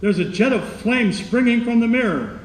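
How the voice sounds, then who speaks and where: A man's voice: very high voice, expressive, normal speed. A man playing a radio announcer and field reporter in old radio drama style. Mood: alarmed. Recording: reverberant hall, faint noise.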